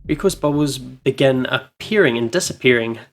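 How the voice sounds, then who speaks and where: A man's voice: mid-range voice, moderate pace. A man hosting a playthrough, switching between dramatic first-person narration of a visual novel and casual, reactive banter with some swearing. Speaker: let's play host reading a visual novel aloud. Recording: game music behind voice.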